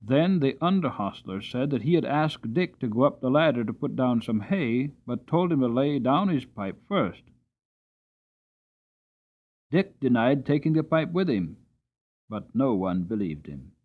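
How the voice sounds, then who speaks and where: Male audiobook narrator, expressive dramatic reading, middle voice, dull recording. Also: mid-range voice, measured pace.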